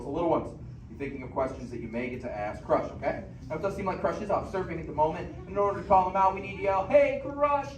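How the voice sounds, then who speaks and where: A man's voice: fairly high pitch, far from the mic. Upbeat man hosting a theater show. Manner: upbeat, instructive, audience-rousing. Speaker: theme park show host. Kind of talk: scripted show introduction to an audience. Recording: echoey theater from afar with ambient noise.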